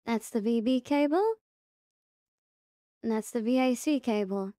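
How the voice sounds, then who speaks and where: High-pitched woman giving a casual tutorial. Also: measured pace, warm tone, no echo, studio quality. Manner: step-by-step instructing, repeats reminders. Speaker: tutorial presenter. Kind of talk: casual software tutorial narration.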